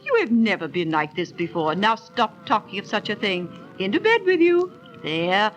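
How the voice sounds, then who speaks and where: A woman playing a brisk, loving village mother, anxious for her daughter, in a 1930s radio drama. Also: medium pitch, sing-song, lightly acted. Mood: brisk, soothing. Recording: noisy old 1930s radio transcription.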